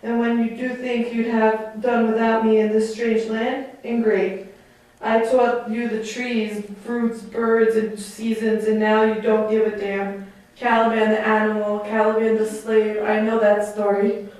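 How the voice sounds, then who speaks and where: A woman acts out a defiant enslaved island savage for a puppet show, in a fairly high voice. The delivery is declamatory and taunting, full of bitterness. It is heard at a distance in a very reverberant room. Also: monotone, measured pace, darkish tone, close to an everyday voice. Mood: bitter, taunting. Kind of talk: theatrical puppet show dialogue. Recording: very echoey room, distant mic.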